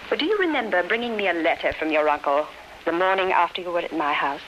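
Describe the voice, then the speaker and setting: Lively, probing society lady. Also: lowish voice, fast, highs cut off. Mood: grave, indignant. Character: concerned, probing society lady confiding in a friend. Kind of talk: 1930s radio acting. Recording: noisy vintage 1930s radio broadcast.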